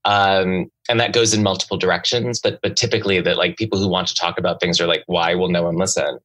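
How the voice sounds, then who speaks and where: A man in a casual literary discussion, speaking haltingly and often restarting his thoughts as a panelist. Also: deep voice, fairly even intonation, fairly bright tone. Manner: halting, searching, self-interrupting with many likes.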